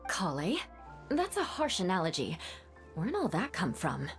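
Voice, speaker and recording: A woman's voice: on the low side, huge pitch swings, measured pace, full character voice. A woman voicing an observant, dry young companion in an anime game. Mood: taken aback. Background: game music.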